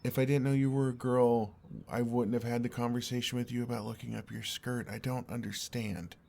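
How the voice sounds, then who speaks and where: A young man playing an exasperated, earnest and oblivious best friend in an intimate audio roleplay, speaking in a halting, conversational way. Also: mid-range voice, level tone, creaky, darkish tone, natural voice. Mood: frustrated, confused.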